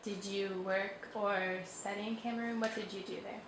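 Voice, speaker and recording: A woman interviewer asking short, plain questions in an even way. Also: medium pitch, moderate pace, reverberant, slight background noise.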